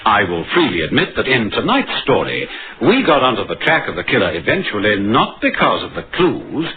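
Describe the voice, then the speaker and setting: An actor playing a measured, dryly grave police inspector narrating his case in an old radio crime drama. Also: fairly low pitch, huge pitch swings, crowd noise. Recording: muffled old radio recording with music.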